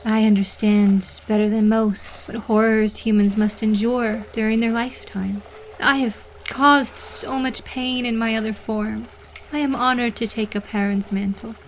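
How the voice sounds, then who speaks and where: An actress playing a proud, fierce nymph in an audio drama, with earnest, formal phrasing. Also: female voice, on the high side, fairly even intonation, unhurried, a little hiss or hum. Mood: resigned, noble. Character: proud, fierce female nymph, once a sea monster.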